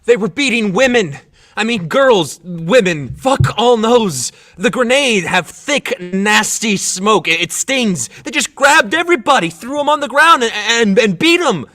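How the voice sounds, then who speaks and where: A man acting a fervent, rowdy young soccer fan at a protest, excitable, coarse and halting. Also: high-pitched, full character voice. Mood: shaken outrage. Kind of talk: dramatic monologue.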